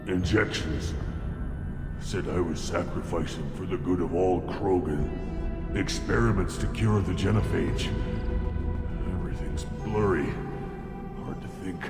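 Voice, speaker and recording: An actor playing a wounded, drugged alien scout in a video game, self-doubting and groggy, with a gravelly voice. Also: male voice, deep voice, measured pace, pauses often. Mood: groggy, pained. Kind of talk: video game character acting. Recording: echoey hall reverb under loud ominous music.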